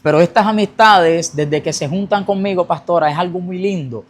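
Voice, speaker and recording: A young man tells his personal testimony in an earnest storytelling voice. His voice sits a little high for a man, and he rushes forward whenever the memories get intense. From Colombia. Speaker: guest giving a testimony.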